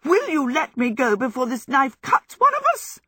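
Woman voicing a brisk, tart old woman. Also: on the high side, sing-song, fairly bright tone, slightly rough, no room sound, studio quality, voice pushed up, heavily acted. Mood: indignant. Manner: brisk, exclamatory. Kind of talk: storybook character voice.

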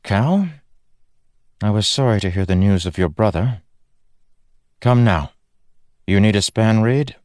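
A man voicing a stern, no-nonsense townlord's wife who talks in a brisk, scolding manner. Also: lowish voice, a little mellow, no room sound, studio quality, pitched above the performer's own voice, lightly acted.

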